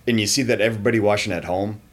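A man co-hosting a podcast in a hotel room, mostly chiming in with short agreements and quick remarks. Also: on the low side, fairly even intonation. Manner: brief agreeing interjections. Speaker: podcast co-host. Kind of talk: casual chat.

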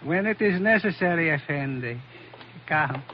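Villain , male actor: highish voice, icily formal foreign henchman, deliberate threats, muffled vintage radio sound. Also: animated, lightly acted. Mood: politely menacing. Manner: formal, deliberate, menacing politeness. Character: menacing, coldly polite foreign male henchman with a gun. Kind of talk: 1940s radio adventure acting. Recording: dull, noisy old radio recording.

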